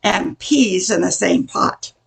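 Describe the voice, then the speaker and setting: Older woman as a gravelly, sassy diner waitress. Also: mid-range voice, takes it slowly, heavily acted. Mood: fed up. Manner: folksy, weary, curt. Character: exasperated, blunt diner waitress, folksy and sassy. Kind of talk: comic old-time radio play acting.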